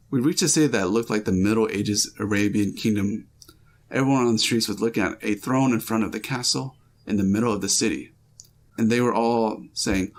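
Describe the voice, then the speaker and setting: A man reading a listener's dream aloud as a first-person story, with small slips and restarts. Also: on the low side.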